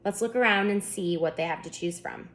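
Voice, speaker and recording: A woman voicing a kind, encouraging mother in a children's storybook, with a gentle, reassuring manner. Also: medium pitch, fairly quick, natural voice. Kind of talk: children's storybook read-aloud.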